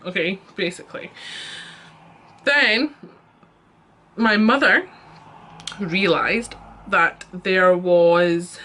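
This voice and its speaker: A woman's voice: fairly low pitch, extremely slow, pauses often, fairly bright tone. A young Scottish woman telling a storytime rant as a vlogger, chatty and exasperated, with lots of fillers.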